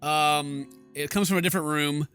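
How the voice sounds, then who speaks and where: A man's voice: on the high side, steady tone, moderate pace, fairly bright tone, smooth, soft background music. A man hosting a game playthrough, reading the text aloud and commenting in a joking, exclamatory, informal way. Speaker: gaming video host.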